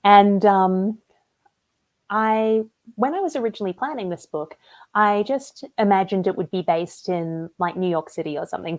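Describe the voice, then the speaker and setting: An Australian woman talks over a video call in an easy, conversational way. Her voice sits at a middle pitch, and she fills her speech with casual asides as she explains her writing. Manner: chatty and relaxed, with frequent "like" and "um" fillers. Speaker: debut novelist interviewed about her book.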